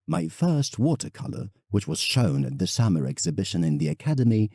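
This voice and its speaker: A man acting as an aged painter in an audio drama, looking back on life. The voice is gravelly and mellow, with a lively, expressive rise and fall. The delivery is deliberate, wistful and nostalgic. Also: on the low side, no room sound, clean studio sound, exaggerated voice. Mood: proud, reminiscing. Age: older. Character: elderly male English painter, reflective, nostalgic letter writer. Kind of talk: dramatic first-person monologue, audiodrama.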